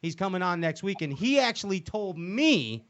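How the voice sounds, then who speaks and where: Excitable host with a higher voice. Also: male voice, moderate pace. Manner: excitable, laughing, stammering restarts. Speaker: show host. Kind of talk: casual chat on a video call.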